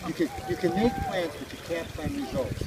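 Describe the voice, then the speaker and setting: Middle-aged male musician from Hawaii, higher-pitched voice, rambling reflective chat, recorded outdoors over loud noise. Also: big pitch swings, brisk. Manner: rambling, philosophical. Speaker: street musician being interviewed. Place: outdoors by a beach park. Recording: loud outdoor background noise with vehicle sounds.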